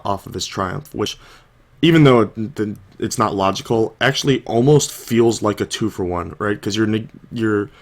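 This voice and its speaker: A young man with a sore throat, casually talking through a card game match over the footage, thinking aloud with lots of "like" and "you know". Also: fairly low pitch. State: sore throat, coming down with a cold. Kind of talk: casual video commentary.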